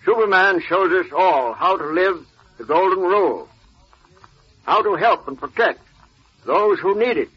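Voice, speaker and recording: Older male guest speaker; rough-edged voice, formal prepared address, stiff reading, lo-fi vintage radio. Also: high-pitched, lively intonation, unhurried, pauses often. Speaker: guest speaker, retired naval officer. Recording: dull 1940s radio recording.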